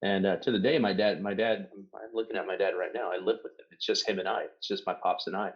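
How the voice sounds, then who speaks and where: A man's voice: deep voice, fairly even intonation, fast talker, dull audio. A middle-aged man from Southern California whose first language is Spanish, chatting casually on a podcast and swearing freely as he tells stories. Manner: swears freely, storytelling with mock quotes. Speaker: podcast guest.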